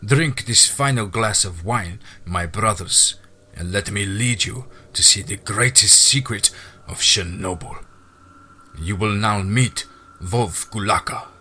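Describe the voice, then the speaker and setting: A man voicing a solemn lodge master in a spooky story, speaking in a measured, ceremonial, assured way. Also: fairly low pitch, pushed and bright, husky, exaggerated voice. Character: solemn, commanding male master of a secret lodge.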